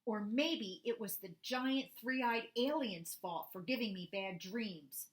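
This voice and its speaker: A woman's voice: mid-range voice, slow, voice pushed up, lightly acted. A woman voicing a grumpy young boy cow, telling a children's storybook in an indignant, dramatic way. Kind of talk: first-person children's storybook narration.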